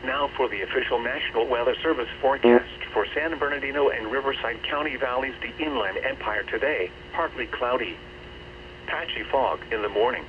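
A dull radio transmission with clear noise carries a man's synthetic voice. He has a mid-pitched delivery and reads scripted weather information formally and steadily, as a weather radio announcer. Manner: formal, measured synthetic reading. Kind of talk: scripted weather forecast and observations.

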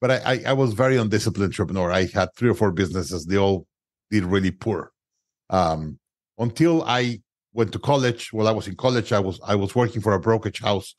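Mexican-accented man, low dry voice. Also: fairly quick, no room sound. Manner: non-native grammar, frequent restarts and "uh" fillers. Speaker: guest entrepreneur. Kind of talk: conversational interview storytelling.

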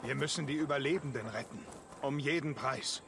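An actor playing a stern, commanding Norse warrior who speaks solemnly and deliberately in a video game. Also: male voice, mid-range voice, creaky, noisy background, close to an everyday voice. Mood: grave, resolute. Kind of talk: dramatic video game dialogue.

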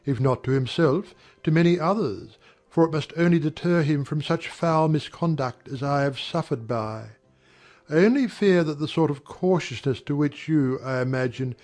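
A man playing a charming, insinuating young army officer with formal, smooth, probing speech in a dramatized period audiobook. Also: fairly high pitch, a little mellow, lightly acted.

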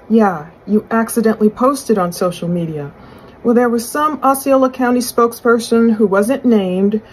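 A middle-aged woman giving conversational true crime commentary in a husky voice, explaining and speculating as she poses questions aloud. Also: a little high, darkish tone, low-quality recording. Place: small furnished room indoors.

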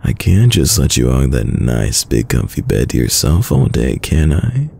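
A man playing a tender, teasing boyfriend in an intimate, soft-spoken ASMR roleplay, with a very deep, gravelly voice. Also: very animated, slight background noise, exaggerated voice. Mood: tender, playful. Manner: slow, soft-spoken, soothing, affectionate. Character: tender, teasing young boyfriend caring for sick partner. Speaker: voice actor.

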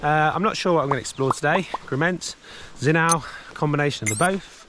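A man in his thirties talks straight to an arm's-length action camera from a snowy mountain village, chatty and upbeat with plenty of filler words. His voice sits a touch higher than most men's. Faint outdoor ambience hums behind him. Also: a little fast, noisy background. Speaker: snowboarding vlogger. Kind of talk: casual vlog to camera. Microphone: action camera held at arm's length.

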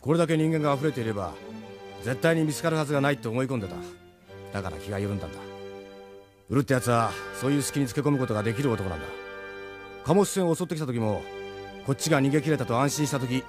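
A pragmatic, reassuring resistance fighter played by a man, talking fast in a medium-pitched voice. It is anime radio drama with music clearly behind him. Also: lively intonation, natural voice. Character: brisk, pragmatic, reassuring male resistance comrade. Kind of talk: anime audio drama acting. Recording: voice under music.

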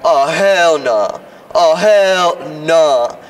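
A woman voicing a brash teenage boy who gawks in a school bathroom, with slow, drawn-out exclamations. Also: sits low, expressive, exaggerated voice. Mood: outraged. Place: outdoors on building steps. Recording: distant outdoor mic, faint noise.

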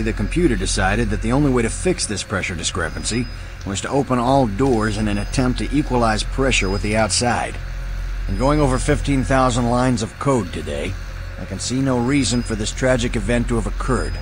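A man's voice: lowish voice, expressive, moderate pace, slight background noise, natural voice. An actor playing an earnest, confident male engineer explaining his work in a game audio log.

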